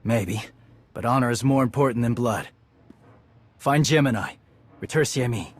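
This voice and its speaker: An actor playing a proud, honorable male warrior in a video game, gruff and husky. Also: mid-range voice, normal speed, close to an everyday voice. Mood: solemn.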